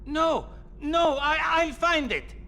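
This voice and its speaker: An actor playing a hot-tempered but cowardly smuggler boss in a video game, theatrical. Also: male voice, very high-pitched, animated, slow pace, sharp tone, a little hiss or hum, heavily acted. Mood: terrified pleading. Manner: theatrical, swings from boastful to grovelling.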